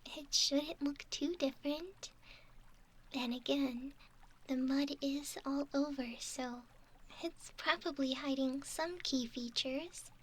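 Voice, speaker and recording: A woman playing a sweet, curious, cautious young mermaid in a whispered ASMR roleplay, with a soft, breathy, girlish voice and a wondering, halting delivery. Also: high voice, fairly even intonation. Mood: eagerly curious.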